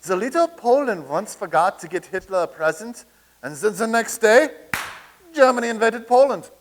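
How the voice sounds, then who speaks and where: A man's voice: high-pitched, animated, moderate pace. An actor in a comedic staged reading playing a blustering, scoffing skeptic who stammers as he starts. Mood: dismissive. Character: blustering skeptical man, stammering, naive admirer of tyrants.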